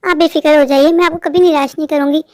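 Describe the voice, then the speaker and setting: A woman's voice: high voice, fairly even intonation, fast talker, a little mellow, close to an everyday voice. A woman playing a scheming young stepmother in a melodramatic Hindi cartoon, haughty and commanding beneath false sweetness. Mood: reassuring.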